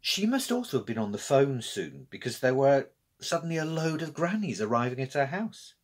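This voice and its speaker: A middle-aged man reading a story for children in an expressive, deliberate way. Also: mid-range voice. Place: small room with bookshelves, indoors.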